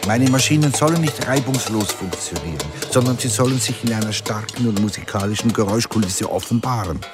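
A man's voice: lowish voice, brisk, music in the background, close to an everyday voice. An actor playing a male artist who proclaims his creed like a recited manifesto in a radio drama. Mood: declarative.

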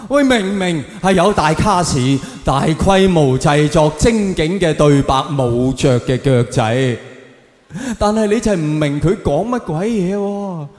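A man with a Hong Kong Cantonese accent performing stand-up to an audience, telling stories in a theatrical, exaggerated way with drawn-out punchlines. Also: fairly high pitch, expressive, a little mellow, soft background music. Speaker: stand-up comedian. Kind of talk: stand-up comedy monologue to an audience. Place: large live performance venue. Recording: echoey hall with long reverb, faint noise.

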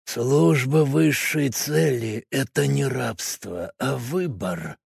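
A solemn cult zealot, as a male audiobook reader puts him on, murmuring in a gravelly, husky undertone. He talks slowly and quietly, like a sermon, in a dry, close studio sound. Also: dry recording, exaggerated voice. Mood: solemn preaching. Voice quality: low, creaky, husky murmur. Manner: slow, hushed, preaching delivery. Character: fanatical male cult adept, calm, solemn. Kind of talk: audiobook character voice.

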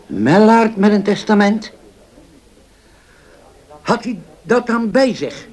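An actor in a radio play voicing a guarded older gentleman with a hesitant manner. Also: high-pitched, sing-song, takes it slowly, heavily acted. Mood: startled.

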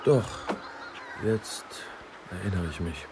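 A man's voice: deep voice, expressive, slow pace, heavily acted. A man acting in a radio drama as a reserved, laconic police inspector, terse and reticent. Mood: reserved, subdued. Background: music.

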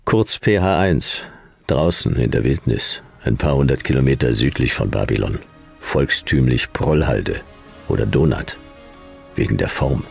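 A hardboiled private eye, with an extremely low, gravelly voice. He is terse, wry and deadpan, like a cynical noir narrator in a sci-fi radio play. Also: a little slow, soft background music, exaggerated voice.

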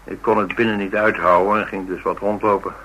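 A rugged, blunt man played by a male actor speaks in a low, forceful voice. He tells his story with dry humour in a colloquial radio play manner, over some background noise. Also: flat delivery, a little fast, natural voice, low-quality recording. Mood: musing, confiding. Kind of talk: Dutch radio drama dialogue, conversational storytelling.

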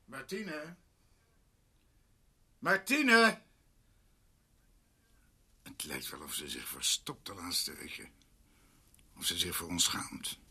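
Older doctor in a radio play, grave and devoted, husky, slow, really forceful. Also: male voice, mid-range voice, dry recording, full character voice. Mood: irritated, calling out. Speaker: actor. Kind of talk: Dutch radio drama acting.

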